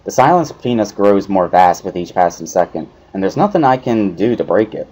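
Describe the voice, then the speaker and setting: Expressive male story narrator, lively pitch. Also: fairly quick, low-quality recording. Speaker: narrator of a dramatic game reading.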